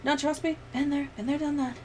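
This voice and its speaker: A woman's voice: high voice, fairly even intonation, brisk. A young woman chatting casually on a livestream in a sweary, sardonic manner. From United States. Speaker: livestreamer editing a video. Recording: clear background noise, room ambience.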